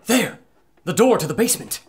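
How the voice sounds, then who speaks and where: An actor playing an excitable, learned doctor of antiquities in a pulp radio adventure, theatrical and scholarly with an anxious edge. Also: mid-range voice, fairly quick, a little hiss or hum, lightly acted. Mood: excited.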